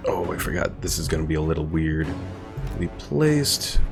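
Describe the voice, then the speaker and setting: Low gruff streamer guy, rambly, says like a lot, game music in the back. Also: sing-song, normal speed. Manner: loose and rambling, full of "like" and "kinda". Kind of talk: casual live-stream commentary reading chat. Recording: game music playing under the voice.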